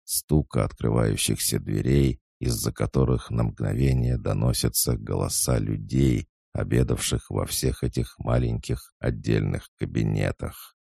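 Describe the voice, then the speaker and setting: A male audiobook narrator reading a classic novel in a measured, even way. His voice sits extremely low, heavy with gravel and dark in tone. The recording is dry and close to the mic. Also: no room sound.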